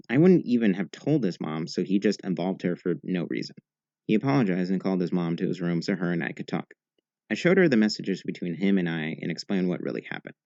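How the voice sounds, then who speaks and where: A man narrating online posts in a steady, even reading voice. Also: low voice, dry recording. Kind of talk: scripted narration. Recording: slightly dull, dry recording.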